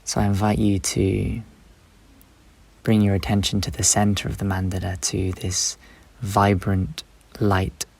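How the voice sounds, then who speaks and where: Man, meditation guide, low-pitched voice, very even intonation, unhurried short phrases, long pauses. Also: gravelly.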